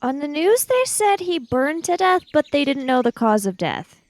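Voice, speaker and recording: A woman's voice: high-pitched, very animated, normal speed, darkish tone, dry recording. A woman chatting casually and improvising dialogue as a player in a tabletop roleplaying game.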